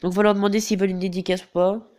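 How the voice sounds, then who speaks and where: A man's voice: high-pitched, little pitch movement, very fast, darkish tone. Stuffy-nosed teen boy hesitating through a tutorial. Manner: hesitant, filler-heavy, repeats "donc voilà". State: has a head cold. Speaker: gaming YouTuber. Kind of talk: game tutorial voice-over.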